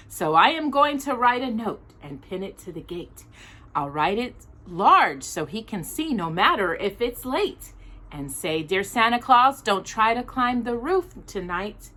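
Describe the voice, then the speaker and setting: Animated woman reading stories aloud, bright voice. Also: on the high side. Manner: animated, deliberate storybook delivery. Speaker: storytime channel host reading to children. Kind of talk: expressive read-aloud storytelling with casual asides.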